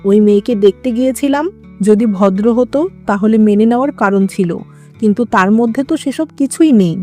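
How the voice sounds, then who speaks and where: A woman as a scolding, mellow-voiced mother-in-law. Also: a little high, brisk, faint music behind, natural voice. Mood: stern. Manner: scolding, lamenting. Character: scolding, traditional, lamenting middle-aged mother-in-law. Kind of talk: dramatic cartoon dialogue.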